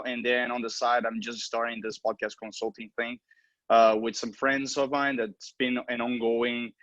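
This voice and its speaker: A young man who runs a podcast, introducing himself in a relaxed, friendly way on an online panel. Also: mid-range voice, no echo. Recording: dull dry video-call audio.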